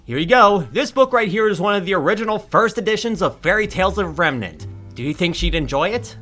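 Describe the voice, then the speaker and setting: A man's voice: high voice, expressive, a little fast, pushed and bright, faint music behind. A man voicing a smug, sarcastic male shopkeeper with a showy, theatrical delivery in a fan audio drama.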